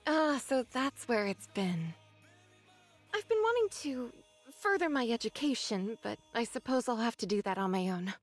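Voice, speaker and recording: A woman playing a gentle, earnest young woman in a video game cutscene, speaking in a soft, polite manner. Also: fairly high pitch, sing-song, moderate pace. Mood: wistful. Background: music.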